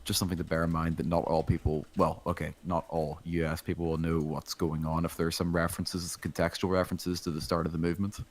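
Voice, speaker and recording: A man from outside the United States speaking casually in a group discussion, tentative and quick to correct himself. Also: deep voice, flat delivery.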